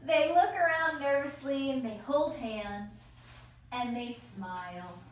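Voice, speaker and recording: A woman's voice: high-pitched, takes it slowly. An encouraging, enthusiastic woman leading a group and reading out stage directions at a table read. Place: echoey room, recorded from afar. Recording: roomy echo, distant mic, faint noise.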